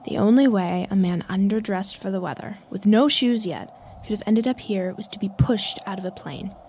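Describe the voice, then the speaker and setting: A woman's voice: mid-range voice, normal speed, darkish tone, slight background noise, close to an everyday voice. A woman playing a curious, confident schoolgirl sleuth in a radio mystery drama. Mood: intent. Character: sharp, curious schoolgirl sleuth, teasing older-kid confidence.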